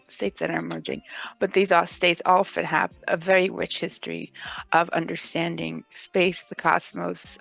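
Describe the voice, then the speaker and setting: Gravelly low-voiced woman from Mexico. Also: a bit bright, poor audio. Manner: thoughtful, many ums and uhs. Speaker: guest legal expert. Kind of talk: interview answer.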